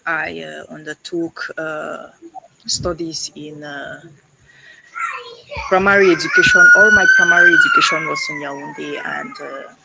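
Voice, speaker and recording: A low-voiced young woman from Cameroon speaks slowly, pausing on fillers and restarting her sentences. Her pitch rises and falls a lot as she goes. There is clear noise behind her. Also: wildly swooping pitch. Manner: halting, many fillers and restarts. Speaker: guest speaker. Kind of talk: online panel conversation. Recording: noisy background.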